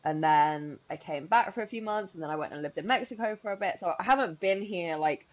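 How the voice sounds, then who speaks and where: A woman's voice: lowish voice, a little fast, lo-fi sound. A woman in her thirties who grew up in Spain, co-hosting a podcast in casual, rambling chat full of likes and restarts. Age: 30s. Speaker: podcast co-host.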